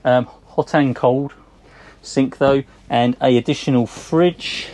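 An English man in his forties, speaking at an average pitch, gives an easygoing walkthrough of a boat. He talks in a chatty, conversational way, full of ums, while moving about the deck outdoors in a marina. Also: slow pace. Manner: relaxed, chatty, frequent ums, pointing out features. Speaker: boat sales presenter. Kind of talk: casual walkthrough tour.

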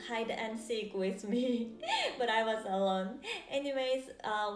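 A woman's voice: a little high, echoey room. A young woman with a Japanese accent chatting casually to a camera in a living room. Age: young adult.

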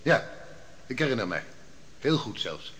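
A man's voice: medium pitch, big pitch swings, a little slow, a bit bright. An actor playing a hesitant older elder who stumbles over his lies on the witness stand in a radio drama. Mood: flustered. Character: nervous older male elder caught lying. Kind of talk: vintage radio drama acting.

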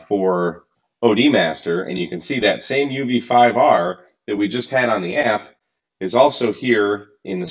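A man's voice: lowish voice, dull audio. A man in his thirties walking through a radio programming demo in a casual, frank way, with exasperated asides, recorded at a home workbench. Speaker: radio gear reviewer. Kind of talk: casual screen-recorded tutorial.

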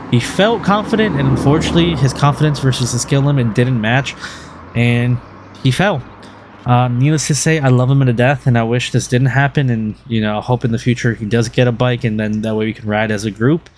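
A man's voice: mid-range voice, fairly quick, a little mellow, slight background noise. A man narrating his motorcycle vlog in an earnest, rambling way with many fillers and restarts. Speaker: motovlogger host. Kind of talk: casual voice-over vlog narration.

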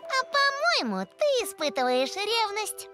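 A woman's voice: extremely high pitch, big pitch swings, a little slow, pushed and bright, faint music behind, heavily acted. A woman voicing a dreamy, naive, giggly little girl creature in a children's cartoon, innocent and curious. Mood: playful. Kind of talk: animated children's cartoon acting.

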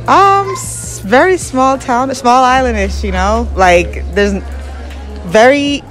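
A woman's voice: on the high side, lively intonation, slow pace, fairly bright tone. A woman from Barbados, an entrepreneur, answering questions in an upbeat, chatty way, full of "you know.". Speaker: interviewee, entrepreneur. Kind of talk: casual chat. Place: clothing store indoors. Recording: music playing behind.

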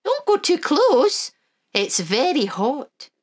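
A woman's voice: huge pitch swings, slow, heavily acted. A woman putting on a chirpy granny. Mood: cheery. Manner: chirpy, high and bright. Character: cheerful magical old Scottish granny.

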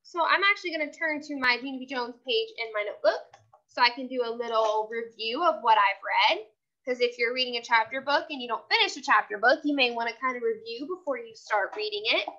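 A woman with a bright, high voice reads a children's chapter book aloud like a teacher. She is expressive and instructional, keeping her listeners along. The recording is a little dull and lo-fi. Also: brisk. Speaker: teacher reading aloud to a class.

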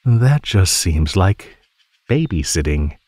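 A man voicing a teasing, menacing male naga in an ASMR roleplay, speaking in a mocking, condescending drawl with pauses. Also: sits low, big pitch swings, a little mellow, no echo, exaggerated voice. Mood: musing, skeptical. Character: teasing, menacing male naga predator toying with captive prey.

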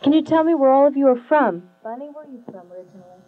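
A woman's voice: sits high, lively intonation, quick pace. Young female interviewer, earnest, reading from notes, lo-fi 70s video audio with hum. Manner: earnest, laughs often, reads questions from notes. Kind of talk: live studio interview. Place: small room with padded curtain backdrop. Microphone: handheld mic. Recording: tinny lo-fi late-70s video sound with mains hum.

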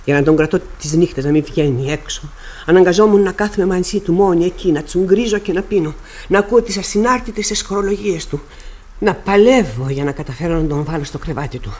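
A woman's voice: on the low side, darkish tone, lightly acted. An actress playing a proud middle-aged widow and mother in Greek radio theatre, speaking formally, intensely and dramatically. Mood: anguished, intense.

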